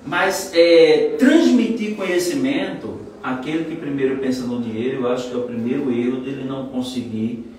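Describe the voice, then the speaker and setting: A man's voice: mid-range voice, moderate pace, hardly any pauses, darkish tone, slight background noise. A man in his forties, a trial lawyer and mentor, explaining in an expansive, self-assured way. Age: 40s. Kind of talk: casual interview chat. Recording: echoey room, mic at a distance.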